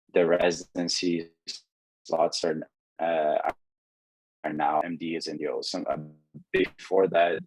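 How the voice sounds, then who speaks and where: A man from Brazil with a very low, husky voice explains things to an audience in an online presentation. His pitch stays flat, and he restarts his sentences often. The sound is dry and close. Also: no room sound, poor audio. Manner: explanatory, with frequent restarts and fillers. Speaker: medical student and mentor presenting. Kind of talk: webinar presentation.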